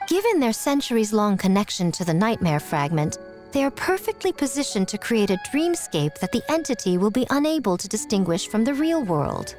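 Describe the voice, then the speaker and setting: Woman acting video game fox spirit, female, sly, articulate, animated intonation, teasing poise, background music. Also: mid-range voice, a little fast, close to an everyday voice. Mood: knowing. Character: sly, self-assured fox-spirit shrine maiden woman. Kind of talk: scripted video game dialogue. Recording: music under the voice.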